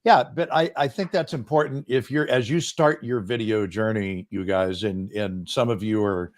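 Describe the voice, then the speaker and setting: Low-voiced man in his sixties. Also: normal speed. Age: 60s. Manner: earnest, self-deprecating storyteller. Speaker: business coach guest. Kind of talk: casual interview chat. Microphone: boom microphone.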